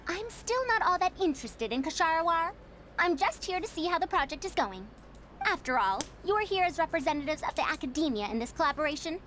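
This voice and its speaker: A woman's voice: very high-pitched, lively intonation, a little fast, fairly bright tone, slight background noise. A woman voicing a haughty senior female scholar in a video game, prim and self-important, with lofty phrasing. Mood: stern.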